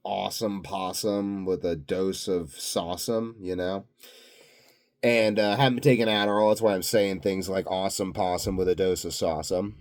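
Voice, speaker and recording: Sleepy guy w a low voice doing a rambly vlog, dry and jokingly grandiose. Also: fairly even intonation, normal speed, a little mellow. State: tired, sleepy. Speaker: vlogger, channel host. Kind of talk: rambling unscripted video diary.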